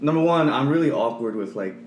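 A man's voice: medium pitch, expressive. A young man hosting a casual podcast with friends, rambling and self-deprecating. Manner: fast, rambling, self-deprecating, swears freely. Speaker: podcast host. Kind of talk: casual chat. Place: home room, untreated. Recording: echoey room with faint background hum.

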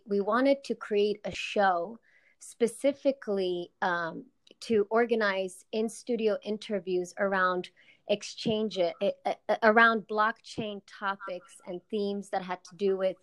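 Woman, thirties, guest on remote call, casual, friendly, filler words, middle pitch. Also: a little slow. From Czech Republic, raised in New York City. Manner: friendly, lots of fillers and restarts, laughs easily. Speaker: guest, co-founder of a media consultancy. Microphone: remote call audio.

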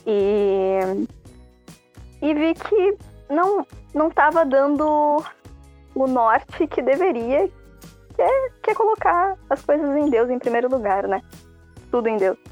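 A 24-year-old woman with a southern Brazilian gaúcho accent chatting on a podcast, relaxed and a bit hesitant. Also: sits high, slow, long pauses, lo-fi sound, faint music behind. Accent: southern Brazilian gaúcho Portuguese. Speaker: podcast co-host. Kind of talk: casual podcast chat.

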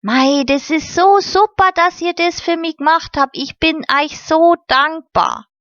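A woman's voice: very high-pitched, measured pace, fairly bright tone, no echo, pitched above the performer's own voice. A woman reading the part of a cheerful, grateful young girl with folksy southern German turns of phrase. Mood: eager. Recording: slightly muffled recording.

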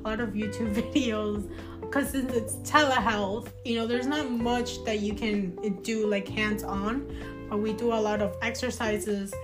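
Young woman podcast guest, born in Cuba. Slightly high voice, relaxed and giggly, with guitar music behind. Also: measured pace. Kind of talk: casual podcast chat.

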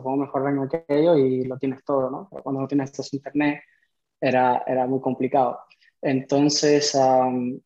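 A man in his thirties from Cuba, telling his story as a podcast guest in a conversational way. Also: medium pitch. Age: 30s. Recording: slightly dull, lo-fi audio.

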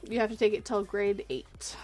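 A woman's voice: mid-range voice, a little mellow. A woman with a Canadian accent chatting casually and jokingly on a livestream.

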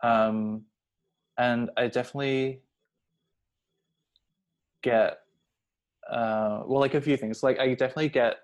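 A man's voice: medium pitch, steady tone, measured pace, pauses often. A young adult man talking casually and personally, hesitant and rambling, leaning heavily on filler words. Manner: hesitant, rambling, heavy use of "like".

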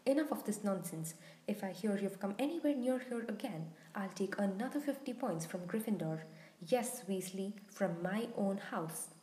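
Casting: woman narrator as strict storybook schoolmistress, brisk and commanding, mellow timbre, echoing room. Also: a little high, lightly acted. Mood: angry. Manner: curt, authoritative. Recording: roomy, echoing sound.

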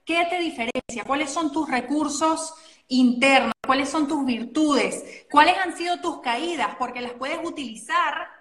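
A Venezuelan woman, a guest coach and model, talking in a chatty, animated way and often correcting herself mid-sentence. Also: sits high, unhurried, echoey room. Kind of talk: casual interview chat.

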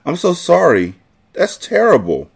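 A man's voice: a little high, wildly swooping pitch, a little slow, creaky, slightly rough, voice pushed up, full character voice. A man voicing a curious, sympathetic young girl babysitter from a storybook, eager and tender.

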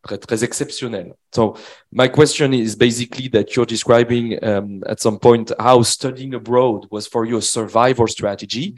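Mid-pitched male moderator, halting, feels his way through each question. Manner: halting, searching for words between two languages. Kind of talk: asking questions at a public talk.

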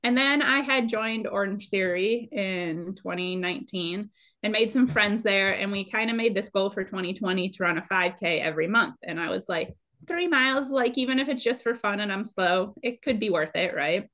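A woman in her late thirties talks in a loose, conversational way at an average pitch. She comes across as animated and chatty, like a guest swapping stories. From Minnesota, United States. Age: late 30s. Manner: chatty, animated, quick to laugh. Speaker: podcast guest, amateur trail runner. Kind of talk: casual conversational storytelling.

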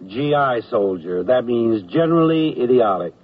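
An actor playing a dry, wisecracking army soldier in a radio comedy. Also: male voice, medium pitch, animated, normal speed, natural voice. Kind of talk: 1970s radio comedy acting. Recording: muffled, noisy radio recording.

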